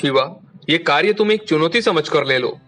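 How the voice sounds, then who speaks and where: A man voicing a wealthy, earnest merchant father in a formal, deliberate way for an animated moral story. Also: a little high, faint music behind. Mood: serious. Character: wealthy merchant father, earnest and concerned about his daughter.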